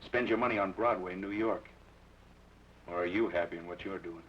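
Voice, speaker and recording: A man's voice: deep voice, fairly quick, close to an everyday voice. An actor playing a tough, wry, commanding gunman with terse, sardonic delivery in old film drama style. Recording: dull, noisy old film soundtrack.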